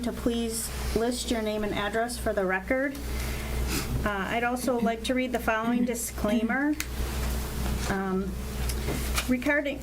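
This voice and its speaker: A woman chairing a village council meeting, moving briskly through procedural motions. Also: on the high side. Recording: noisy meeting-room recording.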